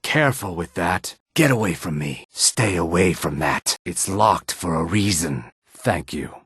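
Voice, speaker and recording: Game casting: gruff streetwise reptilian male, very husky and low, wary, measured menace. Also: lively intonation, fairly bright tone, no room sound, studio quality, full character voice. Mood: guarded, dry. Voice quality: raspy, gravelly. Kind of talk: video game character dialogue lines.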